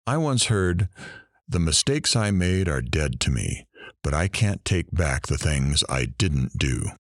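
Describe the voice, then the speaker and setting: A low, dry-recorded voice for a musing, frank interviewer, acted by a man in an audio drama. He is conversational, and his pitch moves a lot as he talks. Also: big pitch swings, slow, no echo, full character voice.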